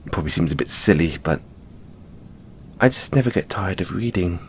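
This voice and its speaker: Man playing a slow-spoken, shy owl boy librarian. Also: deep voice, expressive, a little hiss or hum. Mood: bashful, earnest. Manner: soft-spoken, hesitant, gently bashful. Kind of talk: audio roleplay addressed to the listener.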